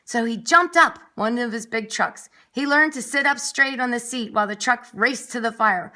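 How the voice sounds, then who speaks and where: Bright-voiced woman reading a children's storybook. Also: fairly high pitch, normal speed. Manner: expressive, with dramatic emphasis and asides to young listeners. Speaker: nursery school story reader. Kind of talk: children's storybook read-aloud.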